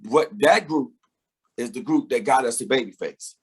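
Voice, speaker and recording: A middle-aged man with a husky, slightly breathy voice speaks at an ordinary pitch. He tells his story reflectively in a relaxed interview, laughing here and there and repeating words as he gets going. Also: moderate pace. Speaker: singer and interview guest. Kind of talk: casual conversational interview.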